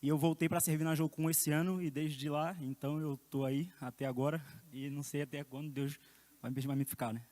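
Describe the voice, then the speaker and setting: A man's voice: fairly high pitch, little pitch movement, fairly quick, mellow. A man from Rio de Janeiro, a missionary volunteer introducing himself casually and a bit hesitantly. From Rio de Janeiro, Brazil. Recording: long hall reverb.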